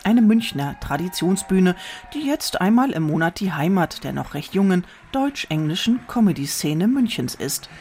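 A woman's voice: on the low side, sing-song, normal speed, a little mellow. A woman reporter reading scripted narration for a radio feature.

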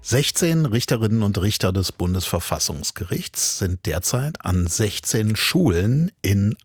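Husky low-voiced news anchor. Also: male voice, darkish tone, no echo, studio quality. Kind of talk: scripted news introduction.

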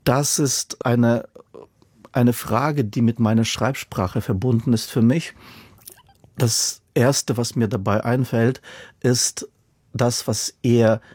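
A husky-voiced man who speaks German as a second language, answering interview questions about his writing. He talks thoughtfully and stacks up repeated words for emphasis. The recording is close and dry. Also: medium pitch, steady tone, takes it slowly, a little mellow, no room sound. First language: not German. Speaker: author and interviewee. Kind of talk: radio interview.

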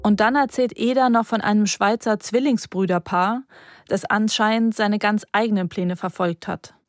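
Woman reporter narrating a court story, matter-of-fact, mid pitch. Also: normal speed, dry recording. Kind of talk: scripted documentary narration.

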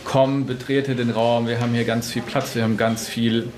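A man speaking casually and thoughtfully as an interviewee, a little halting. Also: medium pitch, level tone, a little mellow. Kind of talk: casual reflective talk. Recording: distant mic with crowd around. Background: crowd chatter.

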